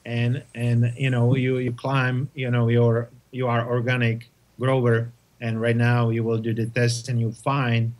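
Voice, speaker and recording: A man from Czechoslovakia with a Czech accent, answering interview questions in halting English full of restarts. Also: mid-range voice, completely flat delivery, darkish tone. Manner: halting, many restarts and repeated words. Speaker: guest, testing company representative.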